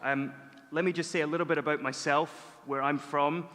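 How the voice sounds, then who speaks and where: A man from Scotland with a Scottish accent gives a prepared talk to a church audience as a guest speaker, in a measured, earnest and emphatic manner. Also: a little high, fairly even intonation, a bit bright. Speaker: charity director and guest speaker. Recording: echoey hall, long reverb.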